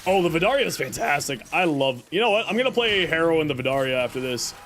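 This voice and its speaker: A man's voice: fairly high pitch, expressive, fast talker, fairly bright tone, a little hiss or hum. A man streaming a game and chatting with viewers, self-deprecating and rambling, who is losing his voice. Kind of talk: casual live-stream chat answering viewers.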